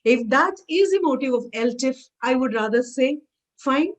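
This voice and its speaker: A woman's voice: sits high, animated, normal speed. A woman with an Indian English accent, Tamil her first tongue, giving an online lecture and telling stories in an emphatic way, often repeating her phrases. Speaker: guest lecturer.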